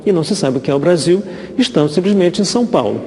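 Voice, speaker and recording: A man's voice: a little high, expressive, fast, dark tone. A Brazilian man giving informal expert commentary, hesitating and repeating words as he explains, recorded with echoey reverb.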